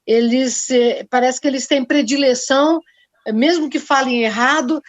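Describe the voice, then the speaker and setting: A woman in her sixties moderating a panel, speaking in a measured, explanatory way over a video call. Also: high-pitched, no echo. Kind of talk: discussion panel talk. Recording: dry, dull video call audio.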